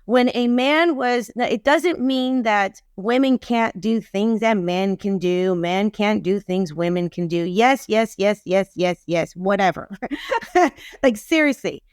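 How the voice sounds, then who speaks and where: A woman's voice: mid-range voice, measured pace, smooth, no room sound, clean studio sound. A woman in her fifties speaking as a podcast guest, giving opinions in an emphatic, casual way and repeating phrases for stress. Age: 50s. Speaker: podcast guest, relationship expert.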